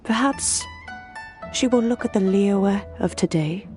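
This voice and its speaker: A woman voicing a wise, gentle, wistful elderly immortal in a video game, measured and reflective. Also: mid-range voice, close to an everyday voice. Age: older. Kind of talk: video game character acting. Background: faint music.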